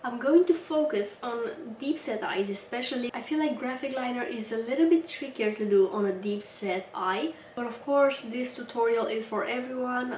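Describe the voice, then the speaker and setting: A woman's voice: a little high, a little hiss or hum. A young woman hosting a casual makeup tutorial, chatty and slightly stuffy from a cold as she works at a mirror. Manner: chatty, talks while working at a mirror. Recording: roomy, mic at a distance, music underneath. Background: background music.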